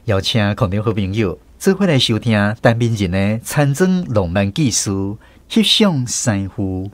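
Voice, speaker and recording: A man with a Taiwanese accent hosting and narrating a scripted radio programme in an expressive storytelling manner. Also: on the low side, unhurried.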